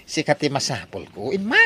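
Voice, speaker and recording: A man's voice: fairly high pitch, pushed and bright, husky, heavily acted. Man playing fickle young Ilocano groom, wildly swooping voice. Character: fickle, pleading, brazen young bridegroom. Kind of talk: comedy radio drama acting.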